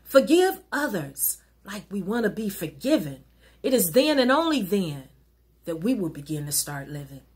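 A middle-aged woman minister reciting an inspirational rhyming poem in an earnest, rhythmic, exhorting way, with a slightly husky voice. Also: mid-range voice, sing-song, normal speed, a little mellow. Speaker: minister and poet. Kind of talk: recited rhyming spoken-word sermon poem. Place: wood-paneled living room at home.

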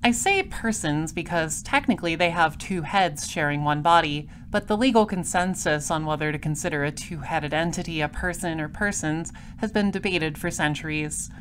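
A woman plays a droll space patrol detective telling a story in an audio drama. Her voice is on the low side, composed and matter-of-fact. Faint ambience runs behind it. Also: normal speed, hardly any pauses, a bit bright, a little hiss or hum. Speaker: detective narrator. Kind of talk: audio drama scripted narration.

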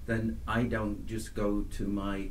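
Sound producer, low voice, earnest and stumbling, in a roomy space. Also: male voice, level tone, slow pace. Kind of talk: casual interview chat. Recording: roomy echo, faint noise.

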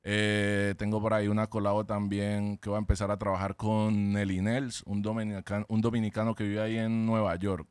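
A Colombian man, a rapper guest, answering interview questions in a relaxed, slangy way. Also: lowish voice, normal speed, few pauses, smooth, no room sound.

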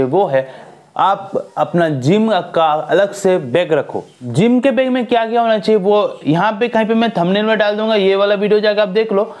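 Young man giving lively gym advice. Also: high voice. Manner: earnest, lively hand gestures, scolding advice. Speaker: fitness vlogger. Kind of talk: casual talk to camera. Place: small indoor room with a decorated wall. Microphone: lapel mic.

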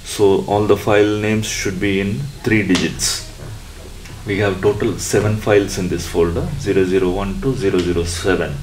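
A man presenting an electronics tutorial step by step in a halting, instructional way. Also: low voice, fairly even intonation, darkish tone, slight background noise, low-quality recording.

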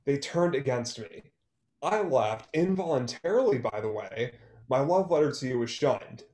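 Bitter, guarded male songwriter played by a man for a stage-play reading. Mid-pitched, terse, sarcastic, in a roomy echo. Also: expressive, moderate pace, darkish tone, natural voice. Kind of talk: online one-act play table read. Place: small room at home, video call. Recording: roomy, echoey video-call sound.

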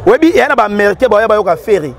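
A man's voice: sits high, lively intonation, rapid-fire. A man, commenting emphatically on a street talk show, repeating his points, recorded outdoors on a lapel mic by a busy market street. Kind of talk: animated street debate in Lingala and French. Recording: street crowd and traffic behind.

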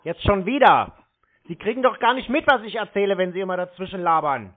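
Tinny phone-line man, high-pitched and blunt. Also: expressive, brisk. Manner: blunt, insistent, scolding. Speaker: scam-baiting caller. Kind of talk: confrontational phone argument.

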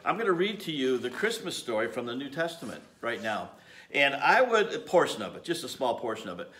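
A man's voice: mid-range voice, sing-song, brisk, fairly bright tone. Earnest middle-aged man preaching. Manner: earnest, gestures with his hands while preaching. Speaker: lay preacher. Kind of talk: home video sermon. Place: home garage, indoors. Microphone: camera at desk distance.

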